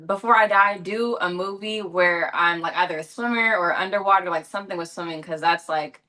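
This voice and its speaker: A young woman from Los Angeles talks through her answers in a loose, chatty way, circling back with fillers and restarts. Her voice sits a little low, and the tone is bright and forward. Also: a little fast. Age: young adult. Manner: chatty, many fillers and self-corrections. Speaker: actress and guest. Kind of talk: casual interview answers.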